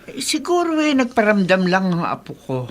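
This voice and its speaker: A woman's voice: mid-range voice, very animated, normal speed, natural voice. A woman playing a concerned elderly grandmother in radio melodrama. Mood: worried. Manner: concerned, questioning. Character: worried elderly grandmother, gentle. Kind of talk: Tagalog radio melodrama acting.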